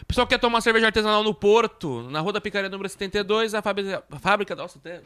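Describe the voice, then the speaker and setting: A man with a slightly raised voice co-hosts a podcast. He talks in an animated, informal way and keeps reaching for filler words as he builds his questions. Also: sits high, racing, clear, resonant tone. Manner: animated, informal, repeats "tipo". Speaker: podcast co-host. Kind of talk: casual podcast conversation.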